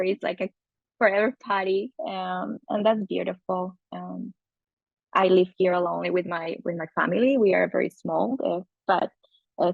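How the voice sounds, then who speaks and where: Gravelly Colombian woman, casual podcast guest. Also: on the low side, no echo, phone-quality sound. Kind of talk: casual conversation.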